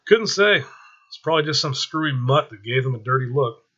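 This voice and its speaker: A man's voice: mid-range voice, animated, fairly quick, sharp tone, highs cut off, pitched above the performer's own voice. A man voicing a flippant, slightly nervous teenage boy with an offhand, joking manner, in a story read aloud.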